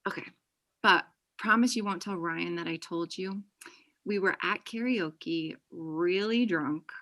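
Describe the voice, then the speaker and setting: A woman playing a chatty, high-energy friend in a comedy table read on a video call, with an animated, gushing manner. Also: measured pace. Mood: apologetic. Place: small indoor room on a video call. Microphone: computer mic on video call.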